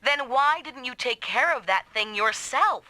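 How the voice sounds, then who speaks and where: An actress voicing a proud, sharp-tongued woman mech pilot in an anime-style video game, bossy, taunting and combative. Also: high-pitched, lively intonation, a little slow, background noise. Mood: teasing, scornful. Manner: brash, taunting, commanding.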